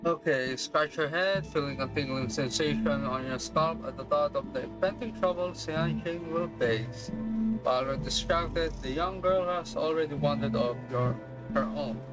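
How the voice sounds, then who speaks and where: A woman's voice: low-pitched, normal speed, rough recording. A woman streamer giving casual game reaction commentary, with slangy, exclamatory reactions. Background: game music.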